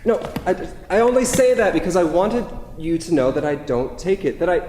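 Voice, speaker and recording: A man's voice: sits high, sing-song, moderate pace, mellow. A man in a staged play reading, playing an anxious, hesitant, over-analytical boyfriend who speaks earnestly in an echoey hall. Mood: pleading. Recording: echoey theatre hall, some background noise.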